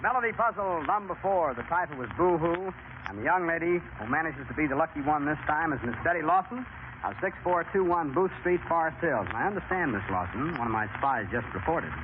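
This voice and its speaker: A man's voice: on the high side, expressive, fairly quick. A man hosting a 1930s live radio quiz show in a chatty, folksy, courteous manner. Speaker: radio game show host. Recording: scratchy, noisy, phone-like 1930s radio broadcast.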